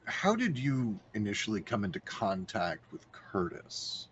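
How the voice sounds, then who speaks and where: A man playing a patient, probing vampire from Hungary in a tabletop game, asking questions haltingly. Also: fairly low pitch, sing-song. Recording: slightly dull mic, faint background noise.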